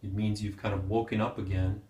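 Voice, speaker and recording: Guy doing guided meditation, lowish voice, gentle n unhurried w pauses, pretty echoey room. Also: flat delivery. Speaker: meditation teacher. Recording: roomy, echoing sound.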